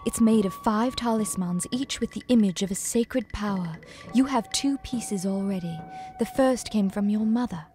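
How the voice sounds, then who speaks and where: An actress playing a poised, grave noblewoman who leads a cause in a video game, speaking in a measured, formal way. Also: mid-range voice, mellow, soft background music, natural voice. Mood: grave, revealing. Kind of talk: video game cutscene acting.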